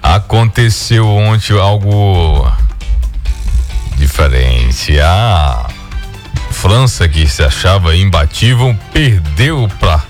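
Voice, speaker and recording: A man's voice: low voice, slow, faint music behind. A Brazilian man hosting a sports radio show, chatting casually and with a lot of slang. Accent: Brazilian Portuguese. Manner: relaxed, slangy banter with frequent fillers.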